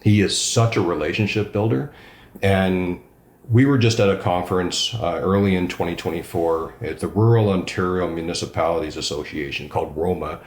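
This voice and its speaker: A man's voice: deep voice, normal speed, reverberant. A man, a regional councillor, answering interview questions with emphatic enthusiasm. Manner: enthusiastic, emphatic, self-described loud voice.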